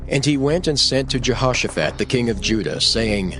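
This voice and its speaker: A man narrates scripture as a solemn, dramatic storyteller. His voice sits at a middle pitch with animated inflection, and music plays clearly behind him. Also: moderate pace. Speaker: Bible narrator.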